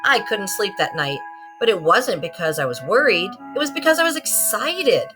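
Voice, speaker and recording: A woman narrates a children's storybook in a very lively, swooping voice. She acts out the story as she reads, with a musical soundscape clearly playing behind her. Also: mid-range voice, moderate pace, over music. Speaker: librarian reading a picture book aloud to students.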